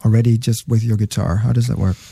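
Mellow, hesitant male interview host. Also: lowish voice, little pitch movement, fast, vocal fry, husky, no echo. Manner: hesitant, many ums and restarts. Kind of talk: casual interview.

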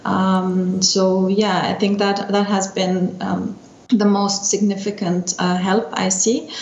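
A woman's voice: mid-range voice, flat delivery, a little mellow. Middle-aged female interview guest from Lebanon, hesitant delivery, dull recording with room echo. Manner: hesitant, searching for words with frequent pauses. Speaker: interview guest, energy division chief. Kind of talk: unscripted interview answers. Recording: dull lo-fi roomy sound, faint noise.

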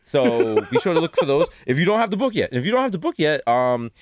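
A man's voice: on the high side, fast. A man co-hosting a comedy podcast, riffing in crude banter and jumping into mock voices. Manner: animated, jumps into mock voices, swings loud and soft. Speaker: podcast co-host.

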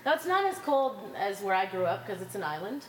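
A woman in her thirties answering rapid questions in a casual group chat, giggly and chatty. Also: mid-range voice, very animated, crowd noise. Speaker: interviewee, chemistry faculty. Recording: echoey room, faint background hum.

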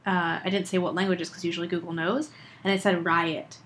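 A woman's voice: fairly low pitch, flat delivery, a little fast, a bit bright, slight background noise. A woman co-hosting a casual chat show, with dry, teasing replies and hesitant filler words. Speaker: podcast co-host.

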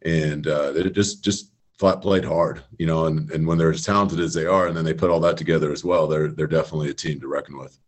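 A man, a high school football coach, talking as a guest over a video call, rambling with many fillers and restarts. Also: fairly even intonation, fast talker. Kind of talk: casual radio interview.

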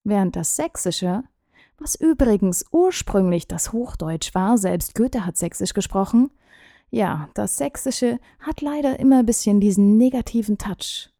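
Mellow-voiced woman speech coach. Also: medium pitch, lively intonation, unhurried, no echo, studio quality. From Thuringia, Germany. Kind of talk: scripted talk to camera.

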